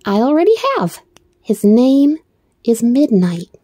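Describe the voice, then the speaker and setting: A woman voicing a kind, determined little girl doll in a storybook, gentle and matter-of-fact. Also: a little high, animated, takes it slowly, no room sound. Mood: proud, firm. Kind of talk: storybook character voice.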